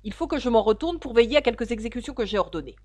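A woman voicing a tyrannical queen who shouts her orders in a shrill, very loud voice, for a storybook reading. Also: expressive, very fast, no room sound. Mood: imperious.

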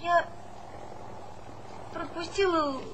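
A woman's voice: very high voice, very slow, fairly bright tone, heavily acted. An actress playing a meek, apologetic young village girl, contrite and earnest, in a Soviet stage comedy. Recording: faintly noisy 1970s theatre recording.

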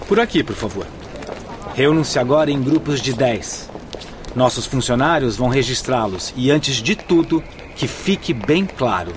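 A man's voice: medium pitch, expressive, unhurried, noisy background, lightly acted. A man acting a firm, orderly official in a radio drama, giving formal, authoritative instructions. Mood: formal authority. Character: firm, orderly male official receiving new spirit arrivals.

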